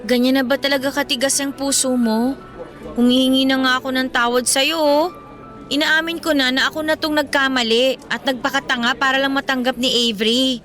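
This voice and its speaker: A young student girlfriend, imploring and emotional, in radio drama style. Her voice is high-pitched and sharply bright, full of desperation, with faint music underneath. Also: flat delivery, fairly quick. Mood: remorseful, pleading. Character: pleading, desperate teenage student girlfriend, Melissa. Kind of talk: radio drama acting in Filipino.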